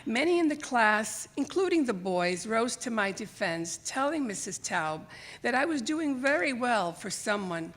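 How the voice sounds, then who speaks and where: A woman's voice: fairly high pitch, measured pace. An older woman who grew up speaking another language reads her own scripted personal essay aloud to a live audience, expressively, as a storyteller. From Greece, emigrated to the United States as a child. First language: Greek or Italian. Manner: expressive, acts out remembered dialogue. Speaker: writer reading own memoir essay. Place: library theater stage, live event.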